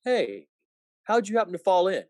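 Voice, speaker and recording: A man playing a despairing, sardonic small-town family man in a radio play, with a halting, folksy, skeptical drawl. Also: high-pitched, big pitch swings, a little mellow. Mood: skeptical, puzzled. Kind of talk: 1940s-style radio play acting.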